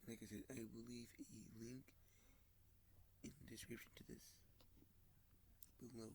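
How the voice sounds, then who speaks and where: A man with a stuffy, sniffly voice talks slowly and haltingly in a casual vlog, often dropping to a soft, whispery tone. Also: mid-range voice, little pitch movement, a little hiss or hum. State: congested, sniffling. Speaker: model train channel host. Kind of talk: casual screen-recorded vlog.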